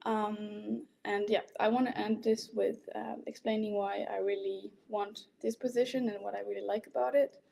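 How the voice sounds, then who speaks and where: Mellow, creaky-voiced young French-speaking Belgian woman. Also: medium pitch, flat delivery, moderate pace, few pauses. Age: 20s. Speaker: candidate presenting an application. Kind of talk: informal prepared presentation.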